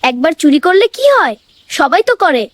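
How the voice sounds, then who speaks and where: Lady doing a high little boy voice for a cartoon, earnest n dramatic, music behind. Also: big pitch swings, normal speed. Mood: sly, casual. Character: young boy thief, scared, pleading, earnestly repentant. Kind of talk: moral cartoon dialogue.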